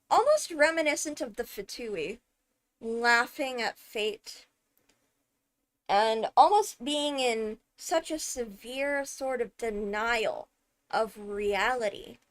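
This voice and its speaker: A woman giving enthusiastic fan commentary, thinking aloud with dramatic, deliberate phrasing and emphatic pauses. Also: fairly high pitch. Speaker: video creator analysing a game character.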